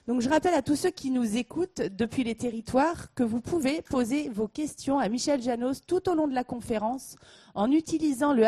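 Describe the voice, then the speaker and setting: A woman moderates a training conference, introducing the speaker in a formal, measured way. Her voice is high yet mellow and soft-edged. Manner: formal, measured, read from notes.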